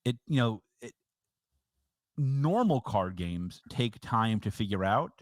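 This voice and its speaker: Emphatic low-voiced podcast man. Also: big pitch swings, slow pace, no room sound, clean studio sound. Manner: emphatic, opinionated, teasing. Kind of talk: casual chat about a card game.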